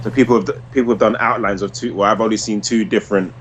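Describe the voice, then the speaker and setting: A man's voice: fairly low pitch, steady tone, fast, very noisy. A man co-hosting a podcast in casual, slangy chat with some swearing. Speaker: podcast co-host. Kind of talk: casual chat about comic book movies.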